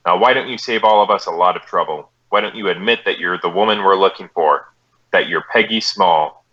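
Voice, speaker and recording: A man playing a polite, by-the-book police detective with a deadpan, clipped delivery in a radio drama. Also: deep voice, fairly quick, highs cut off. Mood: stoic, firm. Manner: deadpan, clipped, matter-of-fact.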